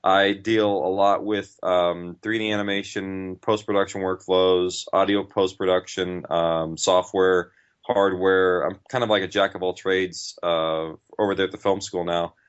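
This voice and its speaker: A man's voice: low voice, little pitch movement, unhurried, smooth. A man talking casually as an interview guest, in an informal, rambling and enthusiastic way. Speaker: interview guest, podcast writer and director. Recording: dull, lo-fi audio.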